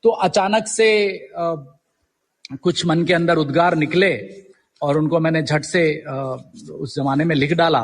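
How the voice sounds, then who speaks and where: A man in his forties from Uttarakhand with a husky voice, telling his story conversationally as an interview guest, mixing in English words. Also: fairly high pitch, slow pace, in a large hall. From Uttarakhand, India. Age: 40s. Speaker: guest poet.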